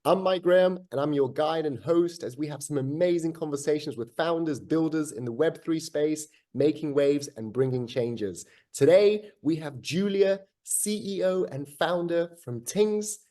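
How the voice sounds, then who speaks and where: A man's voice: fairly high pitch, moderate pace, hardly any pauses, mellow. A man hosting an interview podcast, chatting in an effusive, upbeat way and often repeating his phrases. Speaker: podcast host.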